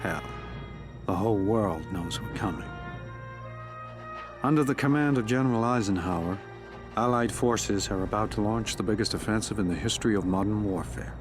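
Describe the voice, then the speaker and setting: Man playing a deep-voiced, solemn American army sergeant. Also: close to an everyday voice. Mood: grave, determined. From North Dakota, United States. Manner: solemn, measured, grave. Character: weary, resolute male American WWII paratrooper sergeant. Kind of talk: scripted wartime journal narration. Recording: loud music bed under narration. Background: prominent music.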